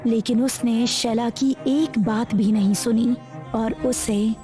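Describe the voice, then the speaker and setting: Female storyteller, very slow pace, high pitch, scripted horror narration, music in the background. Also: steady tone.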